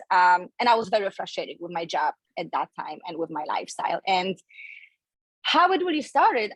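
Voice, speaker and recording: A woman in her thirties with a Polish accent, talking as a guest on a podcast. She tells her story in a chatty, open way, at a medium pitch. The sound is dry and close, with no room echo. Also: breathy edge. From Poland. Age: 30s. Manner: chatty, candid, laughs between phrases. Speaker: guest, online fitness coach.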